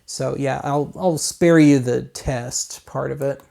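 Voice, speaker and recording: A man's voice: medium pitch, steady tone, takes it slowly, vocal fry, warm tone. An American man hosting a casual gadget walkthrough in a rambling, colloquial, self-deprecating way. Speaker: host of a gadget review show.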